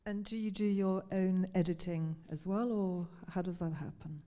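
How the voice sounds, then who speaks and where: A woman in the audience asking a guest questions politely, with halting phrasing and restarts. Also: fairly low pitch, steady tone, dark tone. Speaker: audience member.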